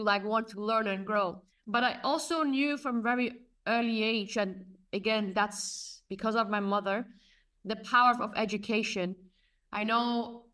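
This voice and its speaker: A woman's voice: a little high, fairly bright tone, far from the mic. A woman in her thirties from Afghanistan, a guest answering on a video call from a room at home, telling her story earnestly. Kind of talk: conversational interview answers.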